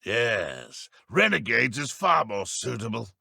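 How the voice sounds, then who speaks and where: A man playing a pompous, vain dark wizard renegade in a video game, theatrical, with a gravelly, raspy voice. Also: medium pitch, sing-song, takes it slowly, no room sound, clean studio sound, heavily acted. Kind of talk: video game character voice acting.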